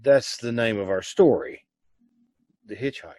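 A man hosting with a scripted old-time radio introduction, measured, theatrical and wry. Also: medium pitch, a little mellow, no echo. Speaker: radio show host.

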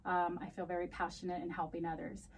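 A woman's voice: lowish voice, completely flat delivery, fast, slightly rough, far from the mic. A woman from the United States whose first language is Spanish, answering casually as a podcast guest and mixing Spanish and English mid-sentence. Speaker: podcast guest, community advocate. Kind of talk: casual bilingual interview answers.

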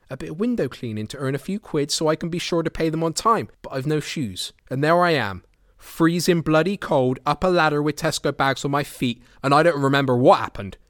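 Higher-pitched, vulnerable teenage lad, acted by a man. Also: no room sound, natural voice. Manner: confiding, colloquial, downbeat. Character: vulnerable, anxious homeless teenage boy, plain-spoken. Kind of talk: naturalistic audio drama monologue.

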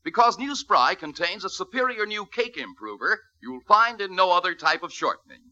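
Animated, high-voiced 1940s ad man. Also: normal speed. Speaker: commercial announcer. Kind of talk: 1940s radio commercial pitch. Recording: dull vintage radio recording.

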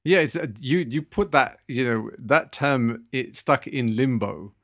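A man's voice: mid-range voice, a little slow, fairly bright tone. A man in his thirties co-hosting a podcast, talking earnestly with many fillers and restarts. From Britain. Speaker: podcast co-host. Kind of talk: casual podcast discussion.

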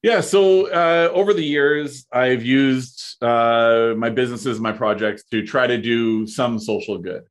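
A man in his thirties talks through ideas in casual conversation. His voice sits at an ordinary pitch. He hesitates often, restarting phrases and dropping in fillers as he thinks aloud. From Canada. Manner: halting, many fillers and restarts. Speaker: podcast guest, entrepreneur.

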